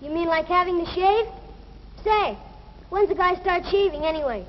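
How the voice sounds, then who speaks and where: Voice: extremely high pitch, normal speed. A young performer playing a curious, naive teenage schoolboy who asks plain questions in an educational film. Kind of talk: scripted educational film dialogue. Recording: dull old film soundtrack, faint noise.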